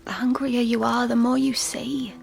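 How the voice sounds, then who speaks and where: A pious, stubborn young girl in a radio drama, ailing and intense. Her voice is high and creaky, often sinking to a breathy whisper, with music faintly underneath. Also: flat delivery, moderate pace. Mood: weak, stubborn.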